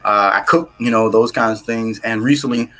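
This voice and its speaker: A man's voice: on the low side, fairly quick, low-quality recording. A man from the United States, an author being interviewed, talking casually with many fillers and restarts. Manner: rambling, many fillers and restarts, warm laughs.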